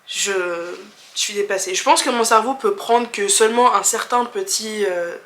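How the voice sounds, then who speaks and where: Bright chatty young Frenchwoman vlogger. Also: mid-range voice, moderate pace, reverberant. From France. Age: 20s. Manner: candid, chatty, many fillers and restarts, laughs easily. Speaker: travel vlogger. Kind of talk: casual chat answering viewer questions.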